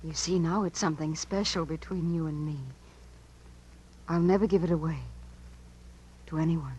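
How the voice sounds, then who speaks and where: An actress playing an eager, inquisitive young niece in a 1950s radio drama, earnest and insistent. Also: female voice, low voice, steady tone, normal speed, noisy background, natural voice. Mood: adoring, intimate. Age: young adult. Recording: vintage 1950s radio broadcast.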